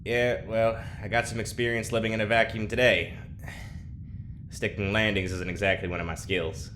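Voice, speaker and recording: A very low-voiced male inmate, played by an actor, awkward and anxious under a deadpan surface. He rambles hesitantly in audio drama style, with clear ambient noise behind him. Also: normal speed, lightly acted. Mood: guarded, troubled. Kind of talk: naturalistic audio drama acting. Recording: clearly noisy ambient background.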